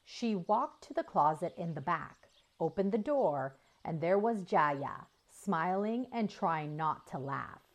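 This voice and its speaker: Animated, unhurried woman storyteller. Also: lowish voice. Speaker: storyteller reading a children's book aloud. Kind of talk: expressive scripted children's narration.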